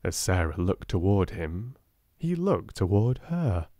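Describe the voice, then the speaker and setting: A man with a British accent reading a storybook aloud in a soft-spoken, gentle, expressive way. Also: deep voice, unhurried, no room sound, studio quality. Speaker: audiobook narrator.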